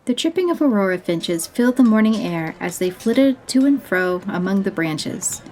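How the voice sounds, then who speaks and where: A woman narrates a scripted fantasy story in a mid-pitched voice. There is faint noise and ambience behind her. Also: lively intonation, moderate pace, darkish tone.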